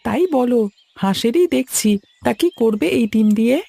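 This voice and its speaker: An actress playing a naive, childlike little brother full of questions in an audio drama. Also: female voice, on the high side, lively intonation, normal speed, creaky, mellow, no room sound, soft background music. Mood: curious. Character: curious, naive little boy, a younger brother. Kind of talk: acted audio story dialogue.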